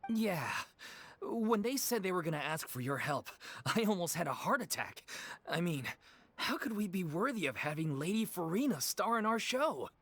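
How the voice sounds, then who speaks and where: A man's voice: sits high, sing-song, moderate pace, fairly bright tone, heavily acted. A man voicing a worried, earnest young actor in a theater troupe in a video game. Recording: game soundtrack music behind.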